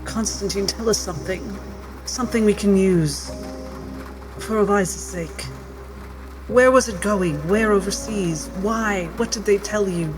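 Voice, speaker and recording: A man takes part in a tabletop roleplaying game as a player, moving between description and in-character speech. His voice sits fairly high, with music clearly behind it. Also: measured pace.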